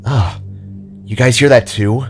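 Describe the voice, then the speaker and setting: A man's voice: medium pitch, fairly even intonation, a little slow, soft background music, natural voice. A man voicing a fiery, wary female fighter in a fantasy story, sounding startled. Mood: disgusted.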